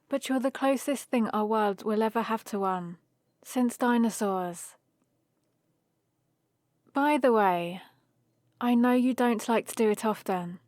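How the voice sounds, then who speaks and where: A woman's voice: medium pitch, no room sound, studio quality, close to an everyday voice. A woman playing a playful, teasing young heroine adventurer who chats and rambles fondly in a one-sided ASMR roleplay. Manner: chatty, rambling, gently teasing.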